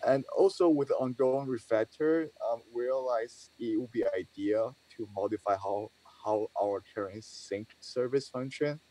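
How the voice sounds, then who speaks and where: A man's voice: mid-range voice, unhurried, few pauses, mellow, low-quality recording. A man giving a developer team status update on a video call.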